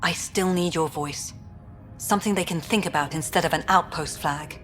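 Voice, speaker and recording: Actress as a no-nonsense colony director lady in a video game, deep for a woman, candid n official, bit of music under. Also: normal speed, fairly bright tone, natural voice. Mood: earnest, approving. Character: brisk, pragmatic female colonial director, guardedly approving. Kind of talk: video game character acting.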